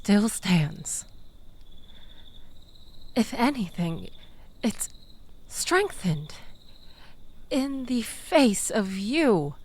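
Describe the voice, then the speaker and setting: A woman playing a manipulative, tipsy, pleading ex-lover in an intimate audio roleplay, halting and dramatic with sighs and breathy drops toward a whisper. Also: mid-range voice, a little mellow, full character voice. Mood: intense, longing.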